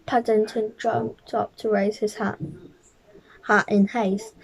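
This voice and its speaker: A woman reading a children's picture book aloud as a storyteller, careful and a little halting, stumbling over the odd word. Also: mid-range voice, level tone, gravelly, poor audio.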